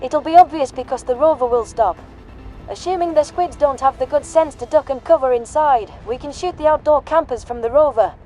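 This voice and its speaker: A man's voice: extremely high pitch, a little fast, a little mellow, faint music behind, pitched above the performer's own voice. A man voicing a tough, blunt, commanding human woman soldier in a science fiction audio story. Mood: brisk, tactical.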